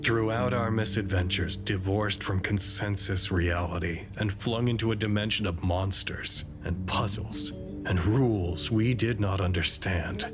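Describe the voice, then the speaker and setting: Man playing a resolute hopeful leader, low voice, measured oratory, earnest, audio drama speech, clear music behind. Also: close to an everyday voice. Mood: impassioned, solemn. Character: resolute, hopeful male leader making a formal address.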